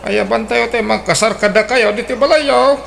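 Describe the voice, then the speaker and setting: A nosy old father, played by a male actor, chatters and schemes in a radio comedy. His voice is gravelly and jumps sharply upward when he gets excited. A faint noise runs under it. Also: moderate pace, exaggerated voice. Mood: scheming, chatty. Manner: excitable, jumps high in pitch. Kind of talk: Ilocano radio drama acting.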